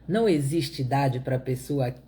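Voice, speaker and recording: A woman's voice: extremely low pitch, measured pace, dark tone. A middle-aged woman from Brazil's Northeast with a Pará accent, teaching on a Bible proverb in a conversational way, often asking her listeners "né?". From Brazilian Northeast (Piauí). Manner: conversational, repeats points, asks listeners "né?".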